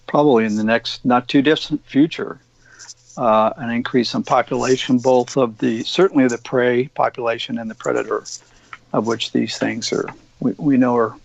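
A man's voice: medium pitch, vocal fry, a little mellow, dry recording, muffled recording. A man from Oregon co-hosting a casual discussion, rambling with many fillers and restarts.

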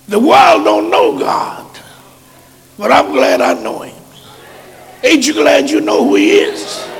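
A hoarse man a sermon in a chanting style that builds into shouts. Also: high-pitched, in a large hall. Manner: chanted preaching rising to shouts. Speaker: preacher.

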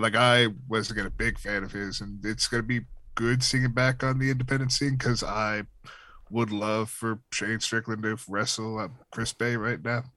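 A man talks easily as a podcast co-host, measured and thoughtful. His voice sits somewhat low. The sound is close and dry. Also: sharp tone, dry recording. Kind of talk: casual wrestling chat.

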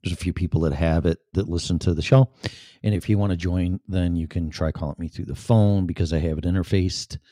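Low-voiced chicago guy rambling on his live stream, swears a lot, dry close sound. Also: steady tone, brisk, mellow, dry recording. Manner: chatty, profane, self-deprecating. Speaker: live-stream show host. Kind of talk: casual rambling monologue.

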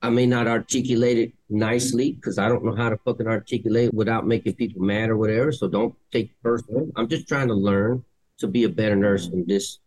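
A man's voice: lowish voice, fairly even intonation, fast talker, darkish tone, dry recording, rough recording. A middle-aged man co-hosting a nursing podcast, talking bluntly with lots of swearing in a casual debate. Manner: blunt, profane, straightforward. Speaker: podcast co-host, nurse.

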